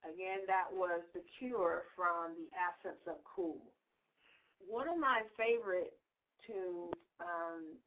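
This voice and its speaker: A woman hosting a radio interview, chatty and friendly, laughing often with her guest. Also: lowish voice. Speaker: radio host. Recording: tinny phone-like broadcast sound.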